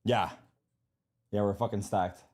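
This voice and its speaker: A man's voice: fairly low pitch, steady tone, measured pace, darkish tone. A young man streaming, chatting casually with friends while setting up a game, swearing often. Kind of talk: casual chat while gaming.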